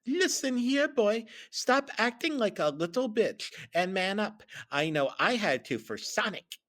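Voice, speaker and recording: A man's voice: high-pitched, big pitch swings, unhurried, fairly bright tone, voice pushed up, full character voice. A man voicing a tough, scornful young fox boy with a Southern accent in a comic story. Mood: tough scolding.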